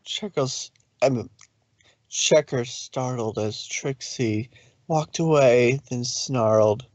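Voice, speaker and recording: A man's voice: medium pitch, slow, a little mellow, dry recording, dull audio. A man narrating a story aloud in a dramatic reading style.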